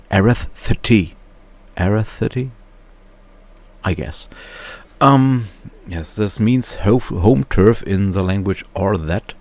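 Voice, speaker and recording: Deep male voice, slow delivery, casual reviewer, halting and unscripted. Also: darkish tone. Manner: halting, searching for words, often chuckling to himself. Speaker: reviewer, video host. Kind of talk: casual off-the-cuff book review.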